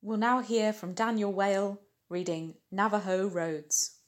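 A woman's voice: mid-range voice, unhurried. A woman podcast presenter giving short scripted introductions to readers.

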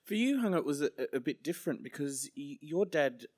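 A man interviewing guests, asking gentle, probing questions. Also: fairly high pitch, very animated, fairly quick.

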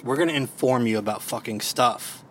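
A man's voice: medium pitch, moderate pace, a bit bright, slight background noise, low-quality recording. A man with a Baltimore accent hosting a casual podcast, joking and swearing freely. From Maryland. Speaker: podcast host. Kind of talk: casual chat. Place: parked car. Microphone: home podcast mic.

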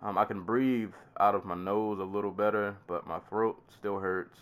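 A man's voice: fairly low pitch, steady tone, moderate pace, smooth. A man hosting a casual podcast while congested with a summer cold, laughing and coughing often. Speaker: podcast host.

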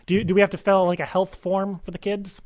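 A man's voice: sits high, little pitch movement, darkish tone, dry recording, studio quality, natural voice. A man playing a rambling, clueless, doting single dad on a prank phone call, stumbling and repeating his questions. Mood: earnest, chatty. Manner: halting, repetitive, stumbling questions. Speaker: radio show prankster.

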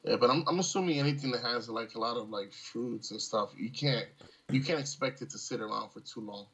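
A man's voice: medium pitch, a little fast, creaky, fairly bright tone, breathy edge. A man in casual conversation as a podcast co-host, easygoing and joking, on a dull remote connection. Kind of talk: casual chat. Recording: dull, lo-fi voice channel.

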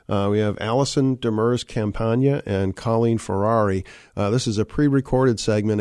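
A middle-aged man hosts a live radio talk show from a studio microphone, his voice a little hoarse from a cold. He sits low in pitch and keeps stopping and restarting with "uh" fillers. The sound is close and dry, with no room echo. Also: a little mellow.

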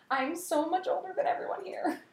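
Frau als Podcastgast, erzählt animiert und spielt Zitate nach, halliger Raum. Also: female voice, very high-pitched, moderate pace, roomy sound. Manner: animated storytelling with quoted voices. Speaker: podcast guest. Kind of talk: casual chat.

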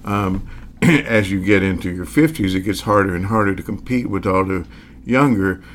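A man in his sixties, a magician, telling his story in an interview at an unhurried pace, pausing often with fillers and chuckling now and then. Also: low-pitched, a little hiss or hum. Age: 60s. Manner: hesitant, many pauses and fillers; reflective, chuckles as he talks.

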